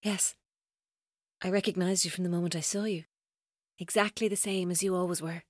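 A woman's voice: lowish voice, steady tone, brisk, dry recording, clean studio sound, lightly acted. A woman playing a tormented, vengeful captor in a radio drama, with an intense, taunting, accusatory delivery. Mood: cold, menacing.

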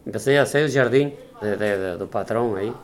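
A Portuguese-born man whose Spanish carries a Portuguese accent, recalling the past in a halting interview with many restarts. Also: fairly low pitch. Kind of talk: reminiscing interview.

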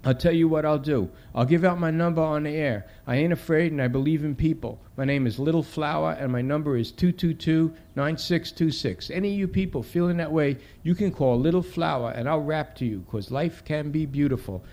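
A man's voice: fairly high pitch, fairly quick, hardly any pauses, darkish tone, voice pushed up, natural voice. A man voicing a young, streetwise ex-addict caller, earnest and preachy, pep-talking in slangy street rap. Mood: warm, proud.

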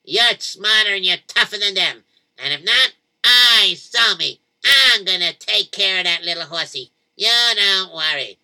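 A man's voice: high-pitched, lively intonation, normal speed, vocal fry, pushed and bright, heavily acted. A man voicing an eager, confident young boy in a spoken story. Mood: bold. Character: brave, cheerful young boy.

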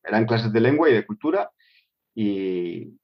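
A man's voice: fairly low pitch, animated, unhurried. A middle-aged man who grew up in Switzerland answers interview questions casually, hesitating with many fillers and restarts. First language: Spanish with German. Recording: dull lo-fi recording.

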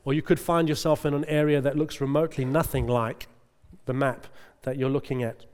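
A man's voice: medium pitch, fairly even intonation, a little fast, darkish tone, smooth. A middle-aged male preacher giving a conversational sermon, chatty and often checking in with the congregation. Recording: long reverb of a large hall.

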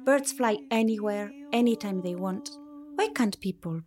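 An actress playing an earnest, idealistic young person answering directly in a radio play. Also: female voice, medium pitch, moderate pace, crowd noise, lightly acted.

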